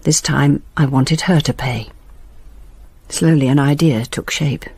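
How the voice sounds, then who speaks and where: Creaky, husky, bitter grandmother. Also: extremely low pitch, unhurried, darkish tone, no echo, full character voice. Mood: cold resolve. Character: bitter, grieving grandmother confessing in a letter. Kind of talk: dramatic monologue in British radio drama.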